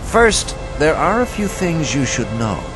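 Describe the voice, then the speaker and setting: An actor playing a self-assured camp mentor in a video game, explaining things in an instructive way. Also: male voice, on the high side, very animated, measured pace. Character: confident, shrewd male camp mentor. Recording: music behind the dialogue.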